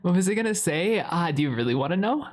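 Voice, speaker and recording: A man's voice: sits high, expressive, fast talker. A young man playing a chatty, lovestruck boyfriend in an improvised ASMR roleplay, rambling in a playful, dramatic way. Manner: rambling, giggly, playfully dramatic, frequent swearing.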